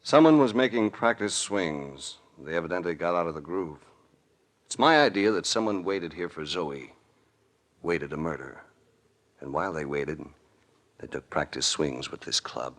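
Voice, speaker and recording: An actor playing a shrewd, calm, world-weary investigator with an American accent and a cool, deliberate delivery in an old radio drama. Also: male voice, low-pitched. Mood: cool deduction. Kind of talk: 1940s-50s radio drama acting. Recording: vintage radio recording.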